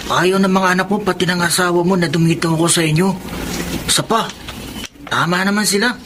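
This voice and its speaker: Radio drama: male actor as a meek young man, high-pitched, with audible background noise. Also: fairly even intonation, a little fast, natural voice. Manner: meek, polite, hesitant. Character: humble, timid young street boy.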